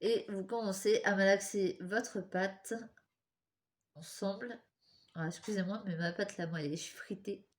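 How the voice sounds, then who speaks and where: A woman's voice: medium pitch, fairly even intonation, measured pace. A young woman with a cold and a stuffy nose, hosting a casual step-by-step craft tutorial and chatting apologetically while she works with her hands.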